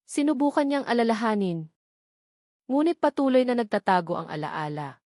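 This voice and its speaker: A woman's voice: fairly high pitch, expressive, normal speed, darkish tone, no echo, studio quality. A woman reading scripted, dramatic love-story narration as a storyteller.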